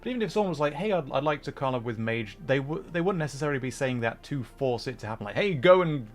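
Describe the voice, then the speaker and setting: A man's voice: mid-range voice, lively intonation, fast talker. A man hosting a livestream, chatting casually between bits of game commentary in a hesitant, thoughtful, self-effacing way. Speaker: livestream host, VTuber gamer.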